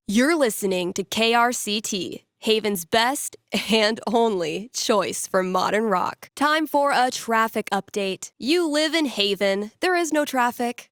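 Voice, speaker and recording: A woman's voice: mid-range voice, a bit bright, no echo. A woman voicing an upbeat young radio DJ in a video game, conversational and teasing, with dry humour as she talks to callers. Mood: wry, upbeat. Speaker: radio DJ taking calls and telling fortunes. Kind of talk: playful radio host patter, storytelling to callers.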